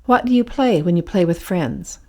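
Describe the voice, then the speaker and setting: Measured woman interviewer. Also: fairly low pitch, animated, warm tone. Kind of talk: scripted interview questions for English learners.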